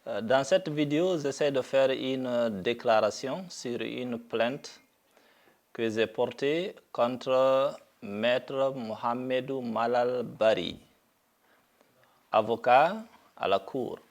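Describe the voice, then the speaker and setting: A middle-aged man from Guinea making a deliberate public statement, speaking haltingly with frequent pauses and fillers. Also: medium pitch. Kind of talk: slow, deliberate video statement.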